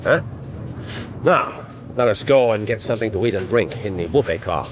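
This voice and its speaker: An actor playing a commanding, wry older Japanese intelligence chief in a radio drama, with a Japanese accent and formal, deliberate phrasing. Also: male voice, fairly low pitch, animated, lo-fi sound, natural voice. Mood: pompous, wry. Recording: noisy radio drama recording.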